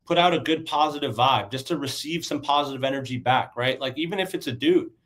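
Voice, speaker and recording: Stuffy, raspy man coaching casually. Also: medium pitch, quick pace, fairly bright tone. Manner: loose, conversational, peppered with "right?" and "bro". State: stuffy and raspy from a sinus infection. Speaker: dating coach. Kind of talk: casual livestream coaching talk.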